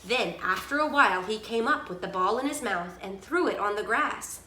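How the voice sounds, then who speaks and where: Animated, bright-voiced woman reading aloud. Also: mid-range voice, normal speed, a little hiss or hum. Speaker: storyteller reading to a child. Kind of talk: expressive read-aloud storytelling. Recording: echoey room sound.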